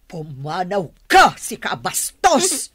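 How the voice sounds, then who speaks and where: An actress playing an indignant, hurt older mother-in-law in an Ilocano radio drama, with an Ilocano accent and husky voice. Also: on the low side, wildly swooping pitch, slow pace, full character voice.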